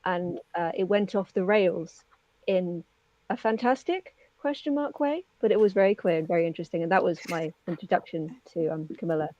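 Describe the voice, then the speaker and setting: Mellow, low-voiced woman on a dull mic. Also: moderate pace, no room sound. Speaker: interview co-host. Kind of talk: casual chat.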